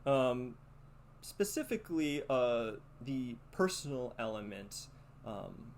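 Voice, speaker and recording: A man hosting a podcast monologue, speaking in a halting, deliberate and reflective way. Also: medium pitch, very animated, a little mellow. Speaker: podcast host. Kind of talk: podcast monologue with read-out letter and commentary. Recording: noticeable background noise.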